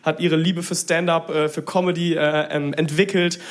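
A man warming up a live audience as a comedy show host, speaking informally in a rambling, hesitant way on stage. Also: on the high side, level tone, normal speed. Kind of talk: stand-up crowd warm-up.

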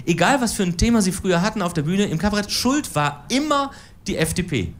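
A woman's voice: mid-range voice, animated, brisk, noisy background. A woman in her forties performing stand-up political cabaret on stage, with a dry, ironic delivery. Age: 40s. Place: stage before a live audience.

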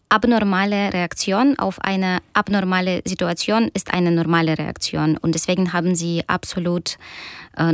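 Woman, German, slow and hesitant, dry close sound. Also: lowish voice, clean tone, no echo. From Ukraine. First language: Russian. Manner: thoughtful, many hesitations. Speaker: guest, doctor and novelist. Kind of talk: radio interview answers.

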